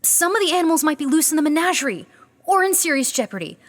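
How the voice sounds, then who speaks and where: An earnest young beastmaster in a fantasy audio drama. Her voice is very high and swings widely, anxious and flustered, often urgent. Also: big pitch swings, fast. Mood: urgent, exasperated. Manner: flustered, breathless, stammering urgency.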